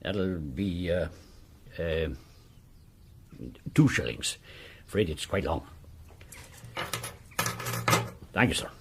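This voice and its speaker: An actor playing a chatty, deferential village postmaster and old soldier in a period radio drama. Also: male voice, sits low, slow pace, very noisy. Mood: obliging, puzzled. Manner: garrulous, deferential, inquisitive. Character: chatty, deferential village postmaster, a one-legged former army corporal.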